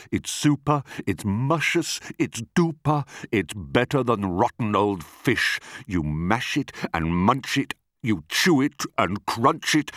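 A man voicing an enormous, sly, boastful crocodile in a children's storybook, with a slow, drawn-out, gloating delivery. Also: on the low side, fairly bright tone, dry recording, studio quality. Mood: gleeful, gloating.

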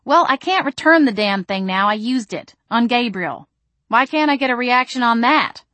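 Woman acting an exasperated, sharp-tongued young vampire woman. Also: medium pitch, moderate pace, no echo, voice pushed up, close to an everyday voice. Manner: emphatic, indignant venting.

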